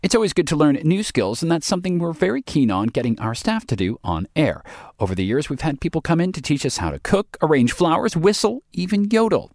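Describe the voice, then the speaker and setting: A man's voice: fairly high pitch, big pitch swings, fairly quick, darkish tone, no room sound, studio quality. A male presenter reading an upbeat scripted radio link.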